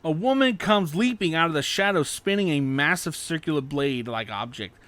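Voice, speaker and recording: A man's voice: fairly high pitch, lively intonation, brisk, fairly bright tone. A man narrating a scripted fan fiction story, occasionally stumbling over his words as he reads.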